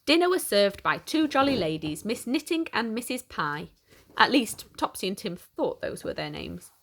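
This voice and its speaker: A woman reading a children's picture book aloud in an animated, expressive way for young listeners. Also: medium pitch, normal speed, a little hiss or hum. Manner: animated, simple questioning aimed at small children. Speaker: children's story reader.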